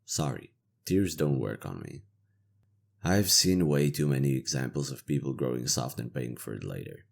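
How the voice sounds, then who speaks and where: A cynical imperial interrogator, acted by a man in a fantasy audio roleplay. His voice sits extremely low and crackles with gravelly fry, yet it moves with lively intonation. He talks in a sardonic, weary, conversational way, as a soldier who still has a conscience. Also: normal speed, exaggerated voice. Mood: cool, controlled. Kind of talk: roleplay audio drama monologue.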